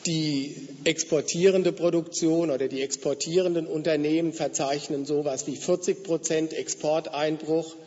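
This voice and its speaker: A slightly hoarse man with a fairly high voice delivers a measured, explanatory lecture. The sound is dull and lo-fi, with a long reverb from the hall around him. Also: steady tone, a little mellow. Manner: measured, explanatory, with occasional fillers. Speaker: guest lecturer. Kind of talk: academic lecture.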